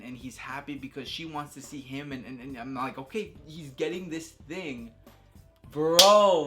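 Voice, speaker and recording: A man's voice: on the high side, a bit bright. A man giving casual, unscripted opinions as a video creator, talking in an enthusiastic, slangy way and repeating phrases for emphasis. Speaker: video creator reviewing a game.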